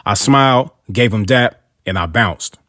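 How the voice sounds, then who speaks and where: A man's voice: fairly low pitch, unhurried, fairly bright tone, dry recording, clean studio sound. A man narrating a scripted motivational story in an earnest way, with hip-hop street slang.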